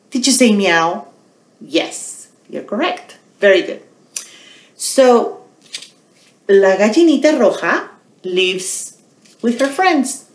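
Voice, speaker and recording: A middle-aged woman hosts a bilingual storytime for young children in a small, echoey room. Her pitch moves in lively swings and her pace is unhurried. She speaks deliberately, posing questions and repeating phrases like a teacher. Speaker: children's storytime host at a public library. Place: small quiet indoor room.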